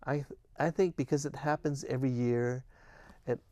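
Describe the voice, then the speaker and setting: An older man whose first language is Cantonese talks in an earnest, unhurried way, with a few false starts as he answers. His voice sits at a middle pitch. The sound is dry and close. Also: fairly even intonation, darkish tone, no echo. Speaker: festival volunteer guest. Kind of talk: casual interview answers.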